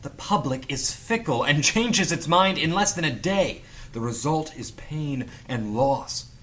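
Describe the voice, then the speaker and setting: A man's voice: fairly high pitch, expressive, measured pace, a bit bright. A man playing an earnest, solemn leader of a secret political movement, speaking like an orator in an audio drama read-through. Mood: impassioned conviction.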